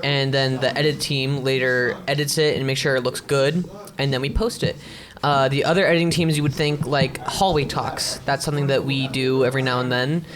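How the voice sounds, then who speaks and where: A man's voice: medium pitch, steady tone, brisk, a bit bright. A teenage boy explaining his school media club in an easygoing casual chat, with some fillers. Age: teens. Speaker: student club member. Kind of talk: casual round-table chat.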